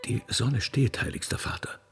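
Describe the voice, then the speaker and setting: A man voicing a deferential cherub, speaking gently and reverently in a hushed way, in a theatrical radio play. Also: moderate pace, in a large hall, soft background music, lightly acted. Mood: reverent, reassuring. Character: soothing, deferential male cherub attending an aged God.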